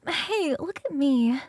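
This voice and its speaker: A woman voicing a young succubus roommate in an ASMR roleplay, casual, animated, chatty and affectionate. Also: high-pitched, dry recording, studio quality, pitched above the performer's own voice. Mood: concerned.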